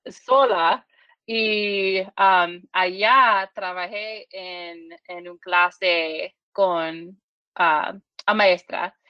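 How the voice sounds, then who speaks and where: A woman in her thirties from Michigan, a podcast guest speaking halting learner's Spanish with English as her first language, full of restarts and fillers. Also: fairly low pitch, fairly even intonation, clear, resonant tone, no echo, lo-fi sound. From Michigan, USA.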